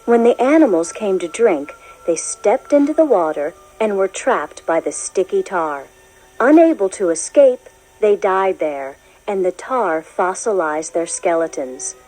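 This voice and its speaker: A woman reading a children's science book as scripted narration, in a clear, expressive storytelling manner. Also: medium pitch, measured pace, soft background music, poor audio. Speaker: narrator of a children's educational read-along.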